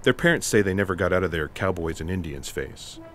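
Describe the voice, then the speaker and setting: Casting: unflappable special agent played by a man, low-pitched, dry, deadpan and wordy, comic sci-fi radio theatre. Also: fairly quick. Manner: deadpan, matter-of-fact, wordy. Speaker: voice actor.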